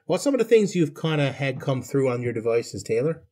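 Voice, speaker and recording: A man's voice: mid-range voice, very animated, fairly quick, warm tone. A man, hosting a podcast interview in a chatty, folksy, joking way. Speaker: podcast host. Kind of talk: casual conversational interview.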